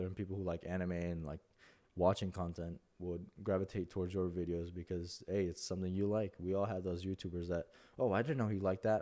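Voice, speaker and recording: Young mexican guy, spanish accent, low deep voice, kinda monotone, rambles a lot with lots of uh and you know. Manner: rambling, many fillers and restarts. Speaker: content creator. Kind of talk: casual talking-head advice.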